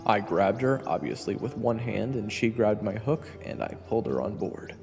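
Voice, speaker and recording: A man's voice: on the low side, expressive, moderate pace, gravelly, a little mellow, in a large hall, soft background music. A man playing a cheeky, anxious young hero who narrates a fantasy audio drama with casual, wry asides. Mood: reflective.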